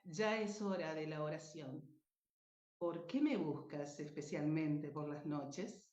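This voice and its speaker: A woman's voice: low-pitched, darkish tone, pitched below the performer's own voice. Socorro, troubled old dreamer, acted by a woman, echoey. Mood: lost, anguished. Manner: slow, dramatic, wistful. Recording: echoey room, dull muffled sound.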